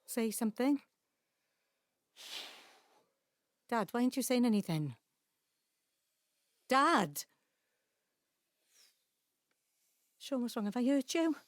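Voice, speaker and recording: High, volatile, wounded daughter acted by a woman. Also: expressive, normal speed, dry recording, natural voice. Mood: distraught. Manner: emotional, swinging from quiet bitterness to cries. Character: bitter, wounded grown daughter confronting her father. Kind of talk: naturalistic audio drama acting.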